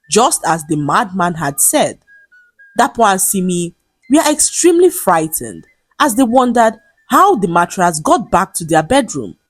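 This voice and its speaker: Low, lively woman telling a folktale. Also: husky, no echo. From Nigeria. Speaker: storyteller. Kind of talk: scripted folktale narration. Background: background music.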